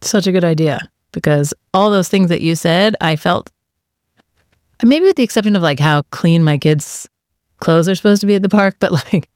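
A woman's voice: on the low side, normal speed, darkish tone, no room sound, clean studio sound. A woman from the United States hosting a podcast, asking questions in friendly casual chat. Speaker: podcast host.

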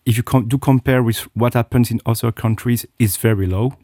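A man's voice: medium pitch, fairly even intonation, fairly quick, mellow, dry recording, studio quality. A middle-aged man, a guest telling his personal story with many hesitations and restarts. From South Korea. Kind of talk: interview, personal account.

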